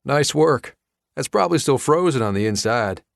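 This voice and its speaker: A man's voice: mid-range voice, expressive, normal speed, gravelly, no echo, studio quality, voice pushed up. Man voicing a playful drawling Southern buddy. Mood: amused teasing. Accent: American Southern. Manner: teasing, drawling. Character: Chuck, playful laid-back Southern best friend, doom-minded.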